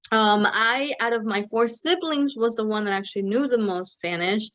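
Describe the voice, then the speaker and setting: A woman's voice: on the high side, moderate pace, a bit bright. A young woman the United States, talking casually as a guest in an interview, rambling with many fillers and restarts and dropping in Spanish words. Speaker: guest, company co-founder. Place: Mexico City.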